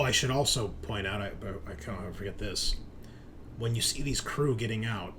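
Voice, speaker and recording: A man improvising narration as game master of a tabletop roleplaying game, in a measured, halting, descriptive way. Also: on the low side, a bit bright, slight background noise.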